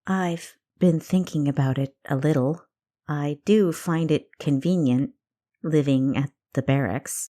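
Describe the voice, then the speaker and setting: A woman's voice: deep voice, unhurried, warm tone. A woman voicing a reserved, practical female city guard and elder sister in an audiobook, speaking matter-of-factly. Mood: pleased, measured. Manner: matter-of-fact.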